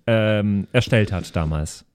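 A man's voice: on the low side, slow, darkish tone, smooth. A man chatting casually as a playful, inquisitive co-host of a role-play podcast. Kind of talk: casual chat.

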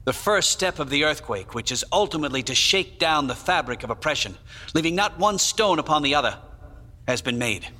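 19th-century abolitionist publisher, solemn, declamatory, fiery, sharp bright tone, long reverb, dramatized news report. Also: male voice, mid-range voice.

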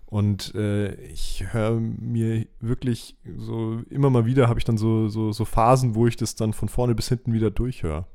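A man's voice: lowish voice, little pitch movement, normal speed, hardly any pauses, dark tone. A man chatting casually as a podcast co-host. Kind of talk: casual chat.

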